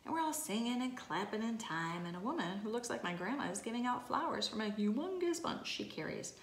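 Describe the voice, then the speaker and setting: A woman reading a children's picture book aloud in an expressive way. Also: fairly high pitch, brisk. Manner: expressive, acting out exclamations and dialogue. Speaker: storybook reader. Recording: echoey room, clear background noise.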